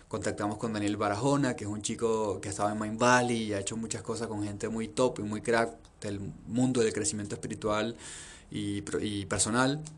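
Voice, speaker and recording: A man's voice: lowish voice, a little hiss or hum. A young man from Venezuela talking earnestly and reflectively about his life, often repeating phrases and listing things in long runs. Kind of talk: reflective personal monologue.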